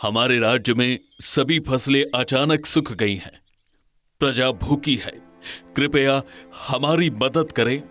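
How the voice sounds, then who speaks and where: A man's voice: medium pitch, slow, fairly bright tone, faint music behind. A man playing an earnest, noble king in a cartoon moral story, speaking formally and respectfully. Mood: pleading, respectful.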